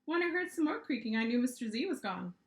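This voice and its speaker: A woman reading a children's story aloud as an author, with expressive narration. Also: a little high, quick pace. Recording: echoey room.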